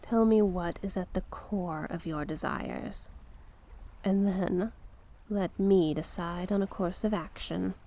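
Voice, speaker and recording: A woman playing a mysterious banished sea witch in an ASMR roleplay, coaxing and knowing, with a teasing, deliberate manner. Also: lowish voice, mellow, a little hiss or hum. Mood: solemn, knowing. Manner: slow, teasing, deliberate, coaxing with pet names. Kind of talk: ASMR character roleplay monologue.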